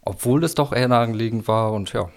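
A man in his thirties, a best man, speaking haltingly while searching for words. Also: medium pitch, creaky. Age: 30s.

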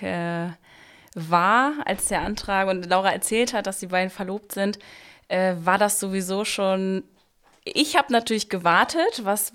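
Young woman, smooth clear voice. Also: medium pitch. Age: 20s. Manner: hesitant, many fillers and restarts. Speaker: maid of honor, guest. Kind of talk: casual interview talk.

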